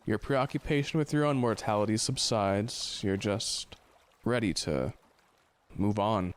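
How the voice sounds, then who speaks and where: Low-voiced, deadpan, philosophical man played by an actor. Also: measured pace, clean tone, close to an everyday voice. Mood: wry, morbid. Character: gloomy philosophical ship's man, fatalistic and slow to romance. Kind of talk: audio drama acting.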